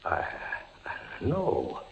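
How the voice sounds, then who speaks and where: An actor playing a frightened, fevered soldier held in a dungeon in a radio horror play, halting and repeating his words dramatically. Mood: fearful, weak. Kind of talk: old-time radio horror acting. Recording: dull lo-fi radio recording, faint noise.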